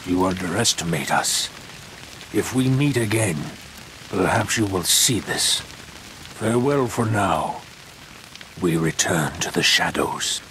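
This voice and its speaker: An actor playing a fanatical, bloodthirsty slave cult leader in a video game, speaking in a grandiose, reverent way. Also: male voice, deep voice, expressive, takes it slowly, pauses often, sharp tone, slight background noise, exaggerated voice, low-quality recording. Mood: ominous. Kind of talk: video game villain acting.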